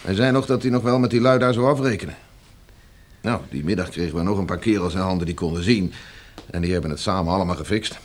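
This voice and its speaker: A man's voice: sits low, quick pace. A man in a radio drama playing a cheery, slangy working-class henchman guarding a captive with offhand ease. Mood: jaunty, amused.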